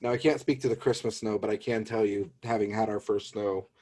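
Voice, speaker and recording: A man's voice: fairly low pitch, steady tone, a little fast, mellow. A man co-hosting a podcast in casual chat, with a conversational, playfully wry way of talking. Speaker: podcast co-host.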